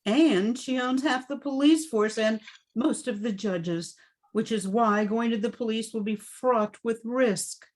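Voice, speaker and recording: A woman acting a stern, concerned wife in a radio drama, with a prim, gently scolding manner. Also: on the high side, measured pace. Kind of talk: amateur old-time radio drama acting.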